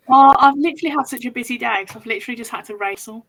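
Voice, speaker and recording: A woman on a fan podcast panel, bubbly and jokey, talking in quick bursts. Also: a little high, vocal fry, fairly bright tone, low-quality recording. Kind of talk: casual fan podcast chat.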